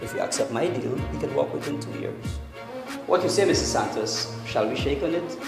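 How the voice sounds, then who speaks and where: Persuasive male prosecutor actor, lively intonation, film drama, echoey hall with loud music. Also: on the high side, a little fast, gravelly. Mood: pressing. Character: persuasive deal-making prosecutor. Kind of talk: film acting.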